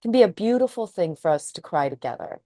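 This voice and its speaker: A woman's voice: on the low side, sing-song, fast, warm tone, no room sound, clean studio sound. A woman who is a trauma psychotherapist, co-hosting a podcast and speaking in a thoughtful, earnest way. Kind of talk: casual chat.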